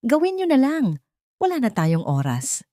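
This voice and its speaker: A woman acts out a wealthy mother in an audiobook drama. She is desperate and forceful, pleading and giving orders with feeling. The sound is close and dry. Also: high-pitched, wildly swooping pitch, unhurried, dry recording, voice pushed up, full character voice. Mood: desperate, insistent. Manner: pleading, commanding, emotional.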